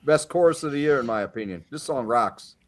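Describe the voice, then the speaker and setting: A man's voice: mid-range voice, lively intonation, brisk. A man in his fifties, a panelist on a casual livestream music chat, enthusiastic and jokey. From Pennsylvania. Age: 50s.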